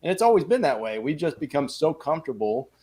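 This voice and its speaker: A man who trains security teams, a podcast guest talking in an earnest, measured way. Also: a little high, very animated, dark tone, husky. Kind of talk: casual podcast talk.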